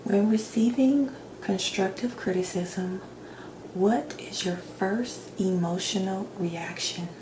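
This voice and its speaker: A woman plays a doctor in soft-spoken ASMR, with slow, gentle delivery that often drops to a whisper. Her questioning is measured and clinical. The recording is roomy and echoing, with clear background noise. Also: mid-range voice. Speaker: ASMR creator playing a doctor.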